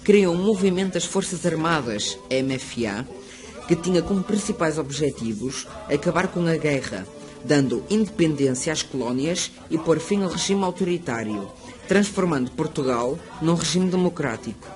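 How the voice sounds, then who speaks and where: A man reading a school history presentation aloud, steady and prepared. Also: fairly high pitch, normal speed. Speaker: student narrator. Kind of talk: school project narration read aloud. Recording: music playing under the voice. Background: music.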